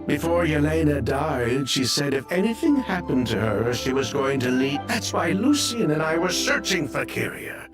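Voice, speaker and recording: Jaded game businessman, deliberate, expressive pitch, defensive, clear background music. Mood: somber. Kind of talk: video game voice acting.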